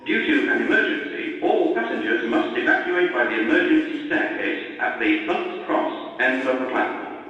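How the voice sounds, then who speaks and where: A man makes a formal public address safety announcement. His pitch moves widely as he gives instructions. The sound is distant and muffled, washed in heavy, long echo. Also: very animated, normal speed. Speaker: pre-recorded station announcer. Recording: echoey, distant, muffled PA loudspeaker with noise.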